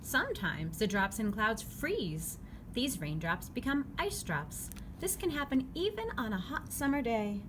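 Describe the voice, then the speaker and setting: Lively woman reading a children's book aloud. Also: on the high side, unhurried. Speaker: read-aloud narrator. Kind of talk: children's picture-book read-aloud, scripted. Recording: clearly noisy background ambience.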